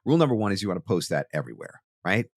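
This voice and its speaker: A man's voice: low-pitched, big pitch swings, rapid-fire, dry recording, studio quality. A man hosting a podcast, giving marketing advice in an emphatic, conversational way and speaking straight to the listener. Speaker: podcast host; voice actor.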